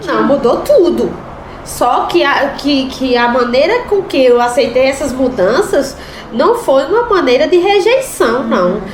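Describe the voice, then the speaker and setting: Woman interviewee, emphatic, high lively voice, faint room noise. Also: normal speed, roomy sound. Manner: emphatic, resolute. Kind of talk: interview testimony.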